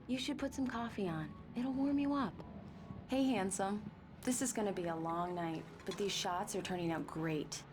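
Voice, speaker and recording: A woman's voice: medium pitch, normal speed, noisy background, natural voice. A woman playing an affectionate, teasing wife in a video game, with an intimate, natural delivery. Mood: playful. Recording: music under the voice. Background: background music.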